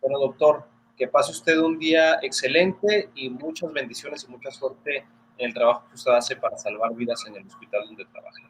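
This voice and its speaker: Polite border-accented interviewer, faint hiss. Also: male voice, medium pitch, normal speed, a bit bright, low-quality recording. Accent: northern Mexican border Spanish. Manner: polite, formal questioning. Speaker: interviewer and news presenter. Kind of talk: casual interview over video call.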